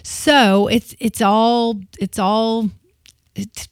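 A woman's voice: on the high side, flat delivery, extremely slow, clean tone, dry recording, studio quality. A woman in her fifties, a radio host talking casually and confessionally on air, with many restarts. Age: 50s.

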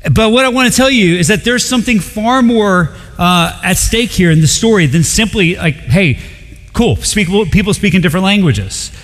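A lively, joking man from Colombia preaches to his congregation in a church sanctuary. His voice sits a little high and keeps an animated, playful energy. A long reverb from the large hall trails behind every phrase. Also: normal speed. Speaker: pastor. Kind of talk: sermon.

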